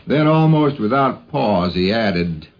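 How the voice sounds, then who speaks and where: Deep, slow-paced male radio narrator. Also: lively intonation. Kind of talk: scripted reminiscence narration. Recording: muffled 1950s radio recording.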